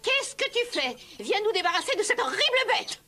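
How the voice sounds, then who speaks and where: A woman's voice: extremely high pitch, very animated, moderate pace, pushed and bright, full character voice. A woman voicing a quarrelsome, spoiled young stepsister in a dubbed cartoon, bossy and bickering.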